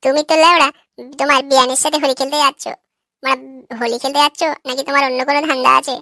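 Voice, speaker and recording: Performer doing a brash, fast-talking young lad. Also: high voice, flat delivery, bright, forceful tone, dry recording, clean studio sound. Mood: teasing. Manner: cocky, fast, teasing. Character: brash crude young neighborhood prankster lad.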